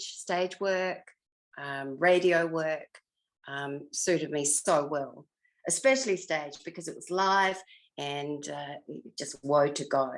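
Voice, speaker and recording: An older woman, over sixty, chatting reflectively as a retired actress in an interview, thinking aloud and letting her sentences trail. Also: sits low. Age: 60s or older. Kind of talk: reflective casual interview chat.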